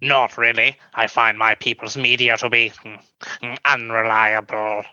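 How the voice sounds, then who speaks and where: A man's voice: medium pitch, flat delivery, measured pace, bright, forceful tone, lo-fi sound. A man acting a curt, aloof alien officer, clipped and formal, in an audio drama. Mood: curt, unimpressed.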